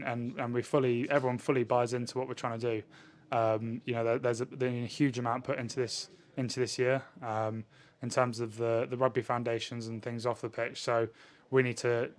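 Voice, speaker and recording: A man, a rugby player answering questions in a post-match interview in a measured way. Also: medium pitch. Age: 20s. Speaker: professional rugby player, winger. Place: indoors in front of a sponsor backdrop. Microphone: handheld interview microphone held at chest height.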